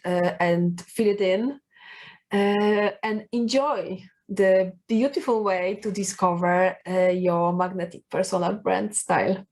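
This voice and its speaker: A woman's voice: mid-range voice, a little mellow. A woman from Poland speaking English with a Polish accent, coaching viewers on personal branding in an earnest, encouraging way, with frequent fillers and restarts. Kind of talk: talking to camera, giving advice.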